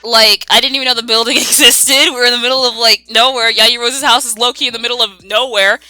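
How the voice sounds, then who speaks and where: A woman chatting casually as she answers viewer questions, animated and slangy, given to exclaiming. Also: fairly high pitch, fast. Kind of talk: casual chat Q&A.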